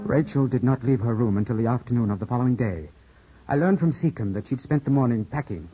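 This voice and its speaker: A man performs an anguished young Englishman in love, brooding and suspicious, in the intense manner of 1950s radio drama. The sound is dull and dated, with music clearly behind him. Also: mid-range voice, animated, quick pace, lightly acted. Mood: tense, brooding narration.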